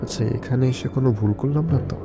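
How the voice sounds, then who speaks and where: A man plays a despairing cartoon thriller hero who talks very fast and irritably. His medium-pitched voice is agitated, with music clearly playing behind it. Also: close to an everyday voice. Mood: frightened, alarmed. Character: despairing, irritable man drawn into a deadly game.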